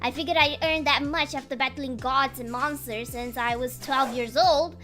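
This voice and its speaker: A woman reading a novel aloud as a sassy, excitable teen demigod boy, in a small voice. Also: high voice, moderate pace, soft background music. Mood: wry.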